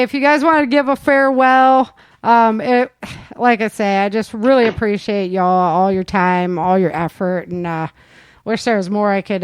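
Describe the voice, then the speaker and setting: A woman's voice: medium pitch, clean tone, no room sound. A woman from the United States hosting a casual group chat in an informal, chuckling manner. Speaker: host of a performer group chat.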